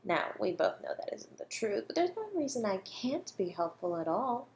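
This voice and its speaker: A woman's voice: mid-range voice, very animated, darkish tone, a little hiss or hum. Woman acting a coy, condescending female murder suspect. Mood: cool, condescending. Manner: teasing, condescending, composed, deliberate. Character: coy, self-assured female academic, a murder suspect toying with detective. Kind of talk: flirty audio roleplay, one-sided dialogue.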